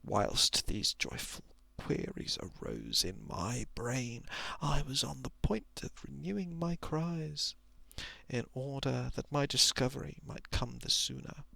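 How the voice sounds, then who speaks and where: A man reading a story in a hushed, deliberate ASMR narration style, often slipping toward a whisper, close to the microphone. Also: mid-range voice, no echo. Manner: hushed, breathy whispering at times, deliberate literary phrasing. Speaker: storyteller reading a short thriller.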